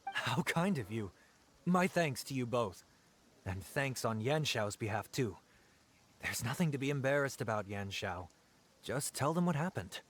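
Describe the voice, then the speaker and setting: An actor playing a genial, reassuring inn boss in a video game, courteous and gently chiding. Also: male voice, medium pitch, sing-song, unhurried, slight background noise. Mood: welcoming. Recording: music behind the voice.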